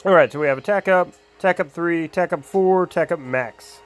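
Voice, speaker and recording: A man casually talking through a mobile game character build, rambling with lots of ums and uhs, his voice a little hoarse. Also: fairly high pitch, brisk, faint music behind. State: slightly hoarse, losing his voice. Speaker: mobile game guide creator. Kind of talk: casual game guide commentary.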